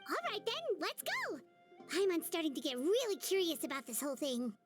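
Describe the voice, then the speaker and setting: Tiny excitable game fairy girl: very high, squeaky, childlike, bouncy intonation, music underneath. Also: normal speed. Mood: excited. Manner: perky, exclaiming, refers to self in third person. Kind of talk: video game voice acting. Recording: music playing behind the voice.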